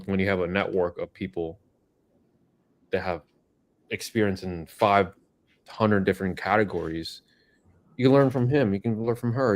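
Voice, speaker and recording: Male co-host, low voice, frank motivational tough love. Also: darkish tone. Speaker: co-host answering chat questions. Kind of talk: casual live-stream chat.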